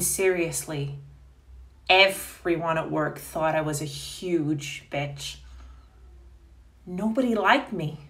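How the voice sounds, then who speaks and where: A woman's voice: lowish voice, fairly bright tone, far from the mic. An actress playing a cold, self-declared mean woman in a melodramatic, pleading stage monologue. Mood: confessional. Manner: pleading, melodramatic, deliberate with hesitations. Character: cold, self-declared bitch begging not to be proposed to.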